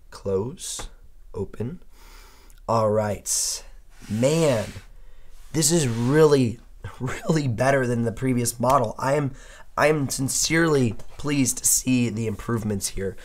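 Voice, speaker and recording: A young man with a hoarse voice he says he has partly lost, chatting upbeat and thinking aloud as he unboxes and reviews a laptop. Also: mid-range voice, takes it slowly, pauses often, a little hiss or hum. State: hoarse, losing his voice. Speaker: tech reviewer. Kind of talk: casual unboxing talk.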